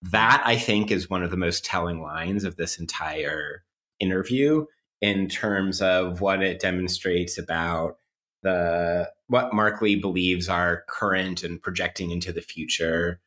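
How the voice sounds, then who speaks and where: A man's voice: low-pitched, unhurried, bright, forceful tone. A man co-hosting a podcast, talking through ideas in an analytical, academic and conversational way with many qualifications. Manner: academic, hedging phrasing. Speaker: podcast co-host.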